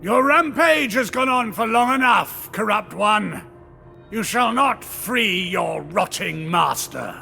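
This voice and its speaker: Stern light wizard in a game, real high n forceful, solemn, strained, kinda slow. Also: male voice, animated, gravelly, soft background music, exaggerated voice. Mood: stern, defiant. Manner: solemn, declamatory. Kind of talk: video game cutscene acting.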